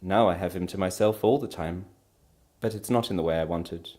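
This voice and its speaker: A man's voice: low-pitched, a little fast, a little mellow, close to an everyday voice. An impassioned storybook wife , acted out by a man, proud and defiant through her anguish. She speaks emphatically, her voice trembling with feeling as she stands up for the husband she loves. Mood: pained, determined. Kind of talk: dramatic storybook character reading.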